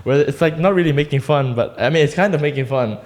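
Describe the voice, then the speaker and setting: A man co-hosting a casual podcast, asking questions with quick, excitable reactions. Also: on the high side. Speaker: podcast co-host.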